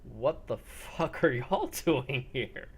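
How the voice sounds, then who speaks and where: A man's voice: mid-range voice, animated, slow, husky, voice pushed up, exaggerated voice. A man playing a shocked, foul-mouthed boss in his mid-fifties in a tabletop survival game. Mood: shocked, incredulous. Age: 50s.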